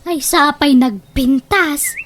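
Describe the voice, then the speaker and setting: An actress playing a chatty, nosy village auntie in an Ilocano comedy radio drama, speaking with an Ilocano accent. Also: female voice, high voice, slow pace, slight background noise. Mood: curious, gossipy.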